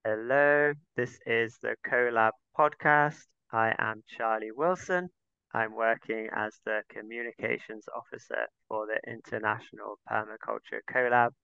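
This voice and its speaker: Gravelly, bright-voiced man hosting a podcast. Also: lowish voice, steady tone, a little slow, dry recording, highs cut off. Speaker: podcast host. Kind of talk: podcast intro and interviewing.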